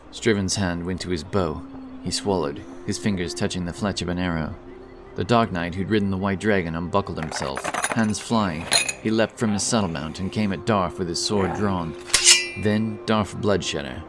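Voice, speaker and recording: A man with a low voice narrates a scripted fantasy tale. His delivery is intense and theatrical, in the manner of a dramatic audiobook. Music runs clearly beneath his narration.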